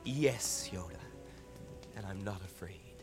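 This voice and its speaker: A man's voice: low-pitched, expressive, takes it slowly. A man playing an earnest, impatient young apprentice hero in a radio drama, pleading his case. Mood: earnest, desperate. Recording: music behind.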